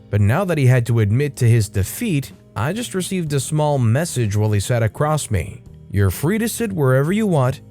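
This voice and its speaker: Expressive man narrating stories over guitar. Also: medium pitch. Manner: expressive, lively storytelling. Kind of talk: scripted storytelling narration. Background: faint guitar music.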